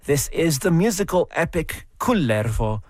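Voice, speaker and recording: A man hosting a classical music radio program, presenting in a measured, explanatory storytelling way from a studio microphone. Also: a little high, sing-song, no echo. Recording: dry studio sound.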